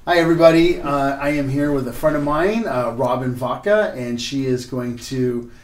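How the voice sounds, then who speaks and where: A man's voice: mid-range voice, normal speed, roomy sound. A man who is a pastor hosting an interview, friendly and encouraging, with lots of "uh" and "um". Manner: friendly, filler-heavy, encouraging. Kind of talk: interview and spoken prayer.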